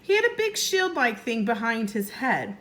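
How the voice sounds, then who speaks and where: A woman teacher reading a story aloud to young children in an expressive way, pausing to explain and ask questions. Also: on the high side. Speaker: first grade teacher.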